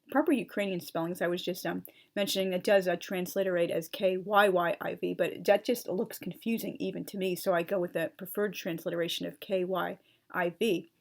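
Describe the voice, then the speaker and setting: A woman's voice: on the low side, steady tone, a little fast, creaky. Earnest woman spelling out names. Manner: earnest, precise, spells words out letter by letter; chatty asides with fillers like "like" and "um". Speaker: channel host explaining language. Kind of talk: talking-head explainer, partly read from notes.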